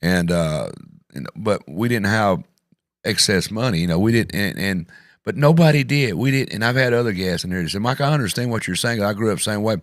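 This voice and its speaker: A man's voice: fairly low pitch, expressive, a little fast, dry recording, studio quality. A man with a West Tennessee accent hosting a talk show, chatting in a folksy, rambling way. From Tennessee, USA. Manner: folksy, rambling, frequent "yeah" agreements. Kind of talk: casual chat.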